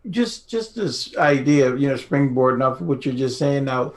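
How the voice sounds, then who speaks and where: Man, average pitch, podcast interviewer, conversational, rambling, casual chat. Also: animated, moderate pace.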